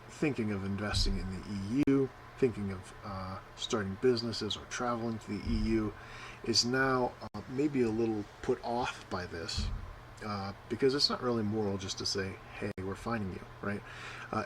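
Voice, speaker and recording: A man's voice: lowish voice, slight background noise, rough recording. A man giving an informal sermon, rambling with many "uh"s and often asking "right?". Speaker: preacher.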